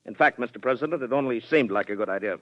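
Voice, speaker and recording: An actor playing a proud, stubborn retired colonel with an American accent, formal and emphatic in 1940s radio acting style. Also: male voice, mid-range voice, fast talker, no room sound, close to an everyday voice. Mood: subdued. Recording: dry, muffled 1940s radio recording.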